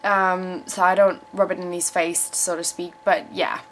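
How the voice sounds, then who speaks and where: A woman's voice: on the low side, flat delivery, a little slow, a little hiss or hum, poor audio. Frank young woman chatting casually. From South Africa, moved to England in her teens. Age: 20s. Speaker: vlogger. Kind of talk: casual chat answering viewer questions. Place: small room at home, indoors. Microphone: camera mic at about arm's length.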